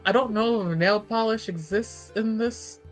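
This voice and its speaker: A woman's voice: on the high side, a little slow, faint music behind. A woman chatting casually and animatedly as a player in a tabletop game, slangy and sweary, often laughing.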